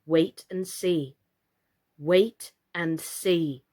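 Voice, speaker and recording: A woman voicing a small, bossy wooden doll in a children's storybook, speaking in a hard, sharp, commanding way. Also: lowish voice, fairly even intonation, drawn-out words. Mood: firm, assured.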